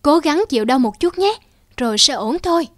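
A woman voicing a kind, gentle young man in a cartoon fairy tale, speaking tenderly. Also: sits high, animated, brisk, no echo, clean studio sound. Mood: tender concern. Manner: tender, caring. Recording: music behind the voice.

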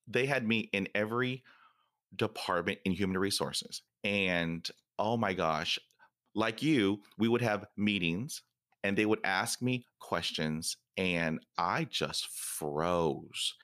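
A man's voice: lowish voice, slow pace, a bit bright, no echo, clean studio sound. A man who stutters, hosting a podcast interview and telling stories animatedly with laughter. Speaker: podcast host.

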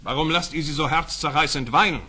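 An actor playing a stern, commanding sultan in a fairy-tale radio play, with declamatory delivery. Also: male voice, fairly high pitch, brisk, bright, forceful tone, slightly rough. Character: imperious, stern sultan with commanding, righteous temper.

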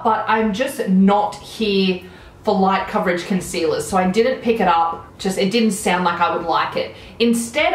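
A woman's voice: medium pitch, roomy sound, a little hiss or hum, rough recording. A woman in her thirties with an Australian accent, chatting enthusiastically through a makeup tutorial at home. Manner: chatty, enthusiastic product commentary while applying makeup. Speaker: beauty vlogger. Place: small room at home, indoors.

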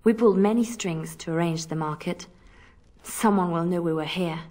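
A woman's voice: lowish voice, moderate pace, darkish tone, lightly acted. A woman playing an earnest, principled young female spy in a video game, with a hesitant, pleading manner. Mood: worried.

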